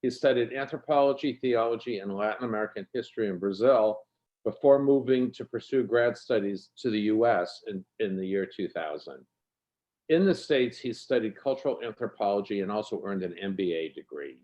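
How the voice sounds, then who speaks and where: Guy intro'ing a speaker over a video call, lowish voice, kinda muffled audio, friendly. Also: a little fast, a little mellow. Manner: friendly, with hesitations and restarts. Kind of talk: reading a biography aloud.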